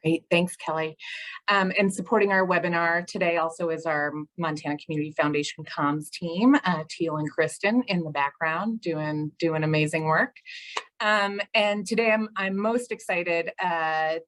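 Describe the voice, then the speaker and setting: A woman hosts an online panel over a video call and introduces it with friendly framing. Her voice sits slightly low, and her phrasing is loose, with frequent ums and uhs. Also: steady tone, moderate pace, fairly bright tone, rough recording. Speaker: program officer and webinar host. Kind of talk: webinar introduction.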